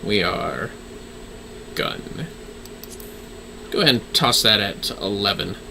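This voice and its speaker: A man's voice: on the low side, lively intonation, slow pace, gravelly, noisy background. A man livestreaming a video game with casual, offhand commentary and sweary joking asides.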